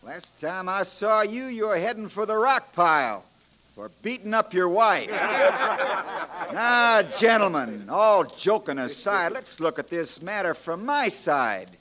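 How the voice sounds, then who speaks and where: Young backwoods lawyer, fairly high male voice, homespun, wry, steady, old-time radio drama. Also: slow pace, full character voice. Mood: wry, challenging. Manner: folksy, plain-spoken, joking, homespun turns of phrase. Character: young folksy frontier lawyer, wry, calm and firm. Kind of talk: 1940s radio drama acting.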